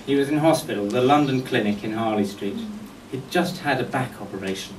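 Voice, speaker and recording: Middle-aged male tribute speaker, mid-pitched, wry storyteller at a memorial, recorded at a distance in an echoey room. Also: a little fast. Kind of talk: eulogy speech with humorous anecdotes. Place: indoor function venue at a golf club, before an audience. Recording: echoey room, distant mic, faint noise.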